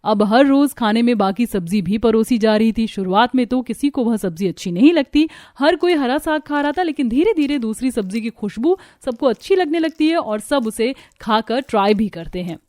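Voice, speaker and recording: A woman narrating a Hindi cartoon story in a steady, scripted storytelling style. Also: a little high, moderate pace, few pauses, no room sound, clean studio sound.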